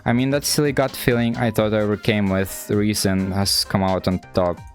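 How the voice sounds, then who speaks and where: An anxious schoolgirl read out by a man in a fairly low voice, thinking everything through at length. The delivery is an earnest, self-doubting inner monologue from a visual novel, with faint music around it. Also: little pitch movement, moderate pace, close to an everyday voice. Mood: hesitant, reasoning. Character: anxious, overthinking schoolgirl narrator, wordy and earnest.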